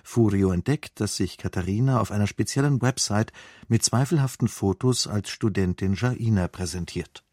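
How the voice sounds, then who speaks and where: A man reading scripted radio announcements and programme summaries in a measured, formal way. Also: fairly low pitch, warm tone, no echo, clean studio sound. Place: dry broadcast studio.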